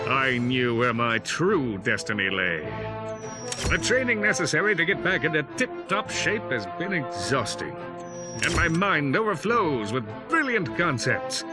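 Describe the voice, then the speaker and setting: A man performs a pompous, self-adoring space hero from a video game. He narrates his log with theatrical grandeur and brooding self-importance, over loud background music. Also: medium pitch, expressive, moderate pace, lightly acted. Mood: grandiose, brooding.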